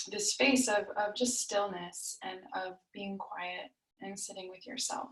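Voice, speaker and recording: Female meditation guide, very slow and soothing, narrow pitch movement, long pauses, recorded at a distance from the mic. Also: a bit bright. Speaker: yoga nidra meditation teacher. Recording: roomy echo, distant mic.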